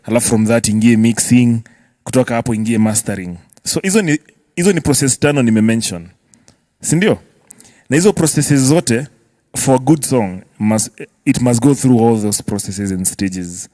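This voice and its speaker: A man's voice: medium pitch, normal speed, dark tone, dry recording. Kenyan man explaining, close and dry. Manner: step-by-step explaining, often checking understanding with tag questions. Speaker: music producer guest. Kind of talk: casual panel explanation.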